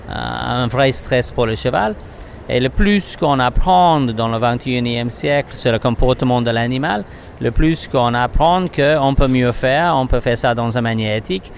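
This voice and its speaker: Australian guy speaking careful french, stops to find words, faint noise outdoors. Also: mid-range voice. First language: English. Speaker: interviewed horse trainer. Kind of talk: interview answers.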